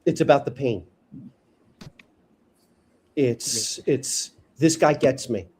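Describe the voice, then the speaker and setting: A man's voice: medium pitch, takes it slowly, slightly rough. A man with a New York accent talking about sales in a casual panel chat, blunt and opinionated. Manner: blunt, opinionated, animated storytelling. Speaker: sales trainer guest.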